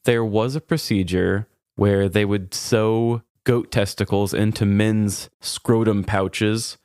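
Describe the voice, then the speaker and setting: A man's voice: lowish voice, fairly even intonation, slow, a little mellow, clear, resonant tone, dry recording, studio quality. A man co-hosting a podcast, telling funny stories in a casual, conversational way. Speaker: podcast co-host. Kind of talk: casual comedic storytelling chat.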